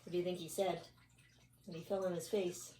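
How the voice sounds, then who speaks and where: A woman's voice: fairly low pitch, flat delivery, fairly quick. A woman reading a children's storybook aloud expressively, chatting casually with a child between passages. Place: at home. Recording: echoey room, distant mic, background noise.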